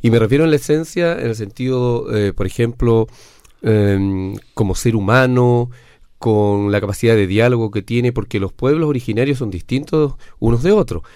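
A man's voice: medium pitch, moderate pace, darkish tone, clean tone, dry recording, clean studio sound. A man asking long, searching questions with fillers in a panel discussion.

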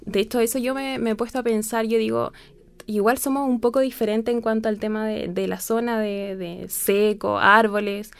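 Clear, higher-pitched young Chilean woman. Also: steady tone, normal speed. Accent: southern Chilean Spanish. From southern Chile. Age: young adult. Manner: informal, rambling, repeats phrases. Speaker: university student guest. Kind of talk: casual spoken interview.

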